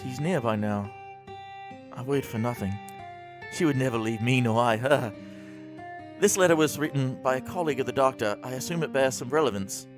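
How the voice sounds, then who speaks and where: An actor playing a tormented, grief-stricken man descending into madness in an audio drama, distraught and halting, with formal wording. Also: medium pitch, over music, close to an everyday voice. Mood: brooding.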